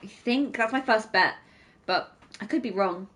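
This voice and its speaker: A woman's voice: medium pitch, measured pace, fairly bright tone. A woman chatting casually while she plays a game on stream, explaining things at length.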